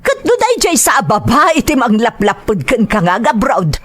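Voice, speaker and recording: Domineering radio drama mother, woman actor, Ilocano Filipino accent, confrontational, very animated delivery. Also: on the high side, normal speed, husky. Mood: scolding. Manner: scolding, emphatic, confrontational. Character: domineering, nagging mother scolding her son's girlfriend.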